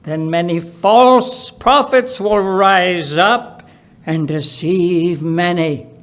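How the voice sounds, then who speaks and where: A man reading scripture aloud in a solemn, deliberate way, with emphatic phrasing and pauses. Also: high-pitched, a bit bright. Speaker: Bible reader at a church.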